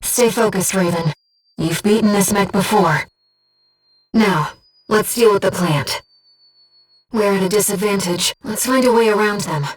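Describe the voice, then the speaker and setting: A woman voicing a gentle, earnest disembodied being in a video game, guiding a pilot with composed, precise, softly formal delivery. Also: medium pitch, a little slow, breathy edge, distant mic, low-quality recording. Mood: composed, focused. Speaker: mission support operator. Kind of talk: scripted video game voice acting.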